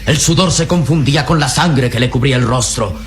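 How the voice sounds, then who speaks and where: A man's voice: mid-range voice, brisk, natural voice. A man with a Latin American Spanish accent narrates an old radio adventure drama in a solemn, suspenseful manner. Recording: radio drama under loud music.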